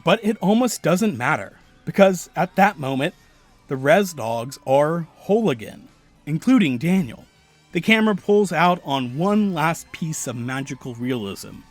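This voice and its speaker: A man narrating a video essay in a measured, analytical way. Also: fairly high pitch, expressive, soft background music. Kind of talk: scripted narration.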